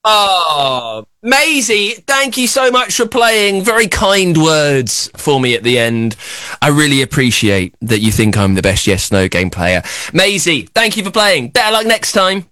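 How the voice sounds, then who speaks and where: A man's voice: on the high side, measured pace, dry recording, studio quality. A man hosting a children's radio show, running a quiz with a young caller in a playful, teasing, boastful way. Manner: teasing, boastful, exaggerated excitement.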